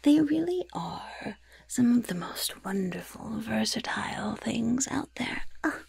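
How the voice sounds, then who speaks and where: A woman voicing a gleeful, mischievous goblin shopkeeper in a breathy, raspy whisper, slowly and slyly savoring every curse and poison in an ASMR roleplay. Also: fairly high pitch, expressive, darkish tone, full character voice. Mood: delighted, obliging. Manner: slow, savoring, slyly polite.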